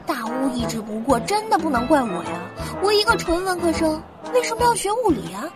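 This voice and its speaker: A young female law student as a woman acts her in an audio drama. Her voice sits high, earnest and plaintive, with music playing clearly behind it. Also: sing-song, brisk. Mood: grumbling, reluctant. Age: young adult. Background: music with guitar.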